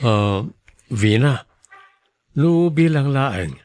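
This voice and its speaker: A man's voice: medium pitch, lively intonation, drawn-out words, a little mellow, dry recording. A man acting a shy, hesitant young driver in a radio drama, stammering bashfully.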